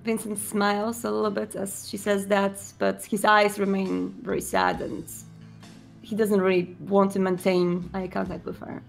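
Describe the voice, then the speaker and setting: A woman improvising narration for a roleplaying game, halting and pausing mid-sentence as she describes her character's actions. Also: medium pitch, gravelly, soft background music.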